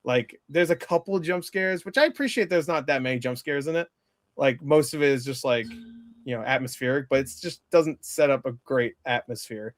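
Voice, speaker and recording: A man's voice: mid-range voice, a little fast, dry recording. A man giving a casual film review in a rambling, emphatic and blunt way, as a movie reviewer in conversation.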